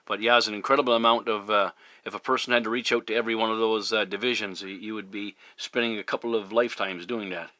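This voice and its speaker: A middle-aged man from Newfoundland, Canada, chatting casually as a CB radio operator, courteous and halting in his phrasing. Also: on the low side, fairly even intonation, pushed and bright. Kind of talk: casual radio contact chat. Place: at a CB radio station. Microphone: radio microphone.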